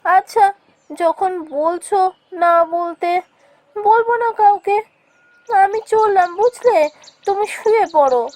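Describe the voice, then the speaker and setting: Village housemaid and wife for a cartoon, acted by a woman: high, caring, fretful, deferential. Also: slow, pauses often, darkish tone. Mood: puzzled, resigned. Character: caring, worried village wife working as a maid. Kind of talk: cartoon voice acting.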